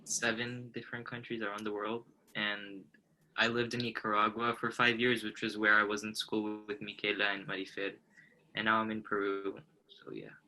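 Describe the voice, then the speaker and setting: A man chatting casually with friends, halting when introducing himself and emphatic when venting. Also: lowish voice, slight background noise, low-quality recording. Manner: hesitant at first, then animated and emphatic. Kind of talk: casual chat among friends.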